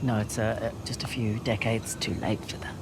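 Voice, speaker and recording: A woman's voice: very deep voice, flat delivery, normal speed, background noise. A woman playing a daring, wry female treasure hunter in a video game cutscene, with a teasing, flippant manner. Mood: subdued, awkward.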